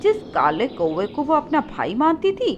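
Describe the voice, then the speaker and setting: High-pitched woman narrating a children's story. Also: wildly swooping pitch, moderate pace, highs cut off, noisy background.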